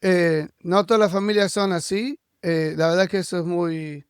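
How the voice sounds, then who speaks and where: A man's voice: high voice, steady tone, normal speed, smooth, no echo, studio quality. A man hosting a casual podcast interview. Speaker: podcast host interviewing a guest.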